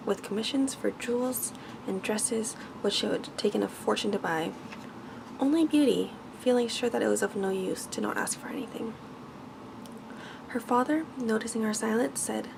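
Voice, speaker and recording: Soft, creaky woman reading a bedtime story. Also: a little high, moderate pace, background noise. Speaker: ASMR storyteller. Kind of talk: ASMR bedtime story reading, scripted narration.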